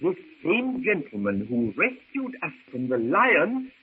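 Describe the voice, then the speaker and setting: An actor playing a fussy, absent-minded elderly professor with hesitant, repetitive, pompous speech in an old-time radio drama. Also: male voice, a little high, wildly swooping pitch, a little slow, slightly rough, full character voice. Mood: flustered, agreeable. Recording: tinny, hissy vintage radio recording.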